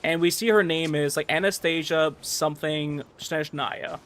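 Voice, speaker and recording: A man's voice: a little high, steady tone, normal speed, a bit bright, slight background noise. A man livestreamer chatting casually with viewers in a rambling, conversational way. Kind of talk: casual chat with stream viewers. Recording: music playing behind the voice. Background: background music.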